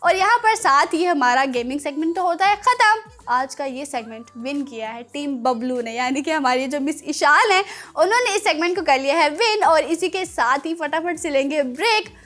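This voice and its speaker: High-voiced Pakistani woman hosting for kids. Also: normal speed, hardly any pauses. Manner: animated, playful, chatty. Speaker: children's show host. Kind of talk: playful quiz and segment presenting.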